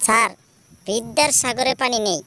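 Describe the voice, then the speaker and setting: A woman's voice: on the high side, moderate pace, pushed and bright. A woman voicing a cheeky young pupil in a comedy cartoon. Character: cheeky young pupil with smart-aleck answers.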